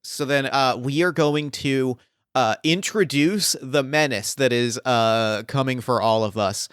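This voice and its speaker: Male game master and host, enthusiastic, crisp delivery, close dry sound. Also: medium pitch, measured pace, fairly bright tone, no room sound. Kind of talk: guiding a tabletop roleplaying game.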